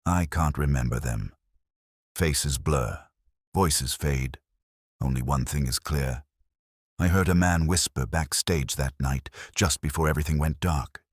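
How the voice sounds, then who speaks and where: A shaken actress , voiced by a male narrator speaks in a very low register. She is fragile and fearful, in halting phrases with a dry, close sound. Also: dry recording. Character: shaken, fragile actress who lost her memory. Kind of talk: audiobook character dialogue.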